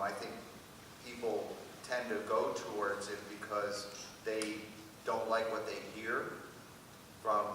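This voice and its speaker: A middle-aged American man giving an informal, conversational answer as an admissions panelist. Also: on the low side. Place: large hall at a public panel event. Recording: echoey noisy hall, distant mic.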